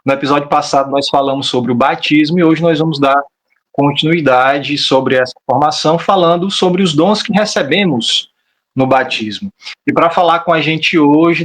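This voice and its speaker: A man's voice: on the high side, normal speed, a bit bright. A man hosting a podcast, opening the episode and formally introducing the guest. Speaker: podcast host. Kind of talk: scripted show opening.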